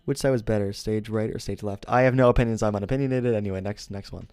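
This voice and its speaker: A man's voice: lowish voice, fairly even intonation, fast, darkish tone, smooth, dry recording, clean studio sound. A young man streaming, chatting casually and rambling, often correcting himself and laughing.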